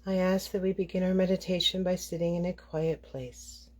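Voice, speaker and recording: A woman leading a guided meditation as the guide, speaking in deliberate phrases with frequent pauses. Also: fairly low pitch, little pitch movement, a little mellow.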